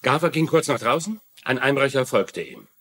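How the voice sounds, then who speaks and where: Skeptischer, lebhaft betonender Polizei-Lieutenant. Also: male voice, medium pitch, lively intonation, moderate pace, fairly bright tone. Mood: sceptical. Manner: matter-of-fact, argumentative. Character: sceptical, matter-of-fact male police lieutenant. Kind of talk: German-dubbed TV crime dialogue.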